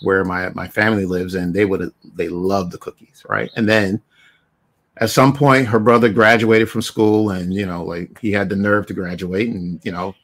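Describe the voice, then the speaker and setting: A man's voice: sits low, flat delivery, normal speed, fairly bright tone. A middle-aged man from Barbados hosting a podcast over a video call, chatting in an easygoing way with lots of "you know" fillers. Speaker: podcast host. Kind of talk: casual interview chat. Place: home room with shelves of figures.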